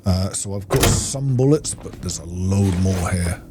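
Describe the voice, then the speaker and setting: Guy doing a laid out let's play, lowish voice, mutters thoughts to himself, noisy game sound behind. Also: animated, unhurried. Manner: musing, talks to himself. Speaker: gamer, let's play host. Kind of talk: casual gameplay commentary, thinking aloud.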